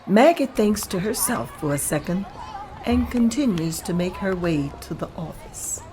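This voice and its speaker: A woman reading stage directions aloud at a table read in a slow, measured way. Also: on the low side, lively intonation, crowd noise, background noise.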